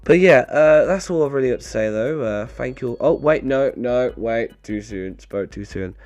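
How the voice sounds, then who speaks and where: A man's voice: medium pitch, normal speed, darkish tone, no room sound. Wry male narrator, voice off from a cold. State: getting over a bad cold, voice slightly off. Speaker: video creator and narrator. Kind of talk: scripted narration with dry humour, casual outro.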